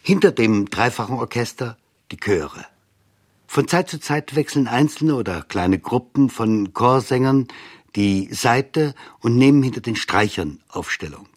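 A man's voice: medium pitch, animated, measured pace. A man reading scripted literary narration for a radio feature. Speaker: reader.

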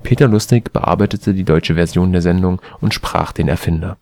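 A man with a standard German accent, reading an encyclopedia article aloud in a steady, careful way. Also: low-pitched, normal speed, no echo. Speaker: volunteer reader of a spoken encyclopedia article. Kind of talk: scripted read-aloud narration.

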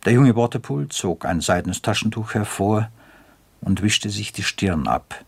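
Deep, husky male radio narrator. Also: animated, unhurried, no room sound. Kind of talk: scripted literary narration for radio drama.

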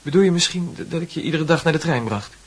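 An actor playing an earnest, hesitant young man in a Dutch radio play, with a husky voice. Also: on the high side, lively intonation, quick pace. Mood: shy, halting.

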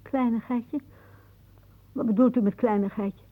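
An actress playing a frail, anxious, possessive old aunt in a radio play, with a plaintive, wistful delivery. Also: female voice, a little high, fairly even intonation, unhurried. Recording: muffled, narrow-band old radio recording.

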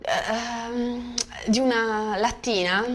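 A woman's voice: fairly high pitch, steady tone, very slow. A woman from Bosnia, a native Bosnian speaker, giving informal conversational commentary and often checking for agreement with tag questions. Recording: faint background noise.

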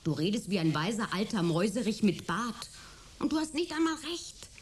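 A woman's voice: mid-range voice, big pitch swings, moderate pace, a little hiss or hum, lightly acted. A woman playing a spirited young adventurer in a sci-fi radio drama, gushing, teasing and insistent. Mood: teasing, defiant. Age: young adult. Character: spirited, enthusiastic, headstrong young woman, detective's friend.

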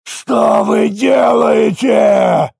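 A male narrator voicing a fanatical male cult adept who preaches in a slow, hushed, creaky murmur. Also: expressive, no room sound, studio quality, heavily acted. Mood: angry. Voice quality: low, creaky, husky murmur. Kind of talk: audiobook character voice.

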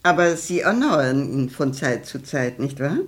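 An actress playing a domineering aristocratic matron in a 1960s radio play, brusque and given to decrees. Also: female voice, extremely low pitch, lively intonation, unhurried. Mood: indignant, brusque.

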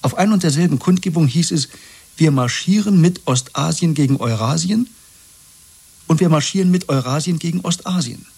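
A man's voice: on the high side, moderate pace, vocal fry, a little mellow, slightly rough. An actor playing an earnest, secretly rebellious younger man in a German radio drama, pressing his point with weary intensity. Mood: urgent, insistent. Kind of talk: 1970s German radio play acting.

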